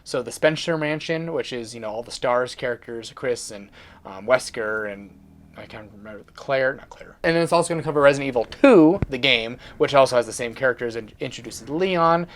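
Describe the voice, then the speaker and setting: A man's voice: mid-range voice, brisk, slight background noise. A man co-hosting a video channel, chatting casually in a loose, rambling, explanatory way. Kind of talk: casual chat.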